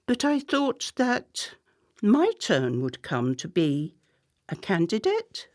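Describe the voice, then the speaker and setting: A woman acting a discreet, ambitious campaign manager in a radio play, controlled, deferential and deliberate. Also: mid-range voice, huge pitch swings. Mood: guarded.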